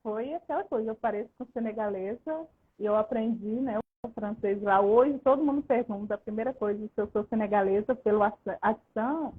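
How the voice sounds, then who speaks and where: Husky-voiced woman on a tinny call. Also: fairly high pitch, brisk. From Brazil. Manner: storytelling, with many restarts. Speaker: guest. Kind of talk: video call interview. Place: abroad, far from the host. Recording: tinny phone-like line.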